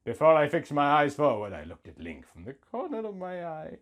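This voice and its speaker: A man in his thirties reading a story aloud in a melodramatic, mock-sentimental comic style, swinging between hushed and loud. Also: on the high side, wildly swooping pitch, fairly quick. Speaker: comic host reading out fan fiction.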